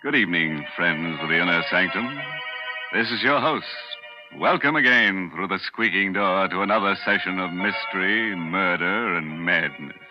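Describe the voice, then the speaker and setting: An actor playing a ghoulish, sardonic horror show host in 1940s radio style, tongue-in-cheek and theatrical. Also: male voice, low-pitched, moderate pace, lightly acted. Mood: mock-genial menace. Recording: muffled 1940s radio recording with organ music.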